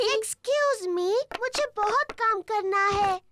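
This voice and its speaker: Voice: very high-pitched, drawn-out words, background noise, full character voice. A performer voicing a cheerful, bossy little girl piglet in a children's cartoon, giggly and emphatic. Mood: mock busy.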